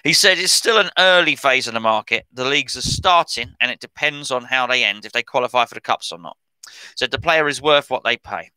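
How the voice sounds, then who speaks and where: A man's voice: mid-range voice, brisk, bright, forceful tone, clear, resonant tone, no echo, rough recording. A middle-aged man, rambling and correcting himself as he chats about football transfer news as a podcast host. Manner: chatty, rambling, self-correcting. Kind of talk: casual commentary on transfer news.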